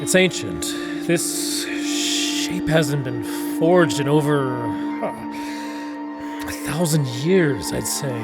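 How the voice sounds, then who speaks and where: A man's voice: fairly high pitch, very slow. An actor playing an earnest, devout and wary male adventurer in a fantasy audio drama, speaking hesitantly. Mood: earnest wonder. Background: music.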